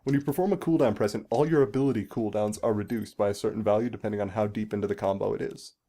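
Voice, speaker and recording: A man's voice: fairly low pitch, fairly even intonation, fast talker, dark tone. A man giving casual, explanatory game commentary as a let's play host, swearing casually now and then.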